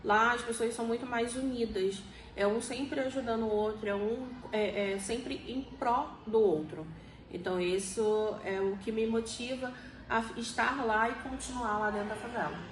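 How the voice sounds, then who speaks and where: A woman's voice: on the high side, normal speed. A forty-year-old Brazilian woman with a Rio de Janeiro accent, explaining things conversationally as an interviewee and often checking in with little tag questions. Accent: Rio de Janeiro Brazilian Portuguese. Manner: explanatory, frequently seeks agreement with tag questions. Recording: echoey room, faint background noise.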